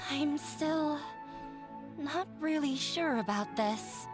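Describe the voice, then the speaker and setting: A woman's voice: high-pitched, takes it slowly. A woman voicing a shy, self-doubting young prop maker in a video game, nervous and hesitant. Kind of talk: animated video game dialogue. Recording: music playing behind the voice.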